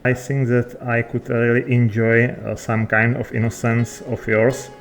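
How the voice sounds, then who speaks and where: Guy with foreign accent roleplaying in a game, slow n halting, medium pitch, quiet music behind him. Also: monotone. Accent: non-native English. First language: not English. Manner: halting, hesitant, searching for words. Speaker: game player. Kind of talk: unscripted in-character chat in a video game.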